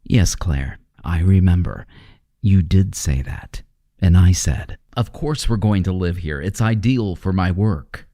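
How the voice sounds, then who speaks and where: A man playing a brooding, domineering chemist haunted by guilt, speaking deliberately and repetitively in an old-time radio horror drama. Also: deep voice, dark tone, no room sound. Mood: curt, domineering.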